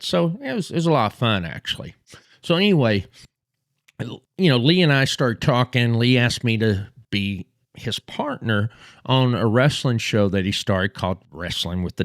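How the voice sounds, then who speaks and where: A man in his fifties with a Texas accent, host of a history channel, telling a personal story in a halting way with many fillers and restarts. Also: on the low side, dry recording, studio quality. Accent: Texan.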